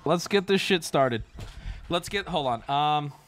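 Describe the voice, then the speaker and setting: A man hosting a livestream, chatting casually and teasing, with loud joking outbursts. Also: fairly high pitch, expressive, a little slow. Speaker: livestream host. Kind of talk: casual stream chat.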